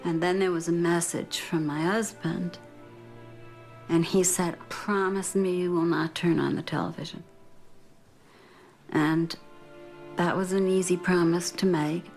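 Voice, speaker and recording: Older woman, very low and unhurried, reflective interview testimony, faint music underneath. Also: level tone, gravelly, darkish tone. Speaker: bereaved mother giving testimony.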